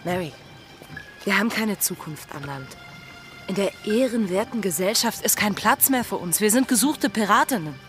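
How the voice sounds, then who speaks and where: A woman's voice: mid-range voice, lively intonation, moderate pace, a bit bright, close to an everyday voice. An actress playing a haunted, resolute female pirate in a German radio drama, intense and brooding. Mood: resolute, pained. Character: Anne Bonny, wanted female pirate, haunted, resolute lover. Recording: studio radio play with prominent music.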